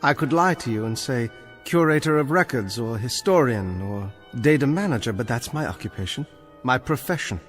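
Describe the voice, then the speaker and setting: An actor in a radio drama playing an earnest, bookish librarian on trial, polite and hesitant yet pedantic and defiant. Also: male voice, medium pitch, lively intonation, moderate pace, long reverb tail, faint music behind, close to an everyday voice. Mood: earnest, eager.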